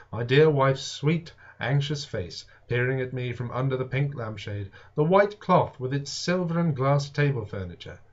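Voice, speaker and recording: On a slightly muffled, lo-fi recording, a man reads a classic novel aloud as scripted narration. His pitch sits in an ordinary male range, and he delivers the prose theatrically, leaning on key words with deliberate emphasis. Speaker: audiobook reader and show host.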